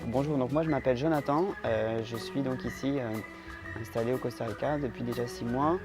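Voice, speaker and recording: A man from France answering interview questions in a calm, hesitant way, with frequent filler sounds. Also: mid-range voice, a little fast. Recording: music playing underneath.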